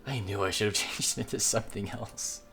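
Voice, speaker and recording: A man's voice: on the low side, normal speed, vocal fry, sharp tone, soft background music. A man reading a visual novel aloud as a gaming video creator, with casual commentary.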